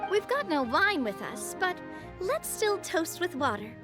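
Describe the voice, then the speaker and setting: A woman's voice: very high-pitched, very animated, a little slow, over music, full character voice. An actress playing a cheerful, gracious young woman, a hospitable and modest leader, in an anime-style video game. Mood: fond.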